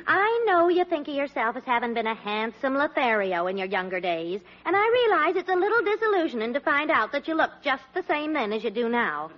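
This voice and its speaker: An actress playing a gently chiding, coaxing middle-aged wife and mother in a 1940s radio sitcom. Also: high-pitched, very animated, a little fast, few pauses. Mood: exasperated, coaxing. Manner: coaxing, mildly exasperated. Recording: muffled vintage radio with studio audience.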